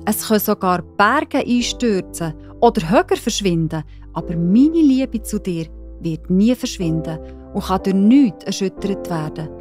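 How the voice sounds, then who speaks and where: A woman with a Swiss German accent narrating a children's Bible story, gently and encouragingly addressing young listeners. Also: medium pitch, lively intonation, measured pace. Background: background music.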